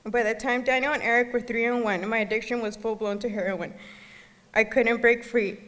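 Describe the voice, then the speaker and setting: A woman's voice: on the high side, fairly even intonation, fairly bright tone. Woman giving testimony in a reverberant hall. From El Salvador. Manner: earnest, halting, occasional restarts. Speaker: gala speaker. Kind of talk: personal testimony speech. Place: gala banquet hall. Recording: long reverb of a large hall.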